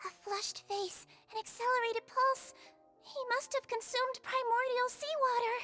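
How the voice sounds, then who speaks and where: A woman voicing a small, sweet, earnest nurse in a video game, polite and clinical with a gentle scolding streak. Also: extremely high pitch, steady tone, measured pace, heavily acted. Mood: concerned, brisk. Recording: music under the voice.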